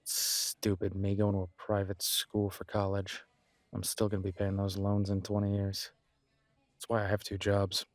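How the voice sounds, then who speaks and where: A man playing a low-voiced, earnest best friend. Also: completely flat delivery, no room sound, close to an everyday voice. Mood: wry, candid. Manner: earnest, encouraging, conversational with pauses. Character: supportive, self-deprecating male best friend, young working adult. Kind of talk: casual conversational roleplay audio drama.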